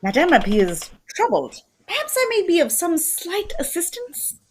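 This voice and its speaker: A woman playing a proud, fussy, courteous Belgian male detective in a radio drama, with a put-on French accent and a formal, precise, pompous, husky delivery. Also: very high voice, huge pitch swings, normal speed, a little hiss or hum, full character voice. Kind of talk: old-time radio drama acting.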